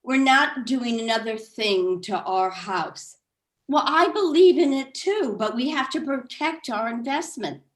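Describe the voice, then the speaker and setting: A woman's voice: a little high, lively intonation, a little slow. A woman in a staged reading, playing a disapproving, opinionated 1960s housewife, emphatic and self-righteous. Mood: indignant. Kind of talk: staged reading of a satirical play. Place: video call.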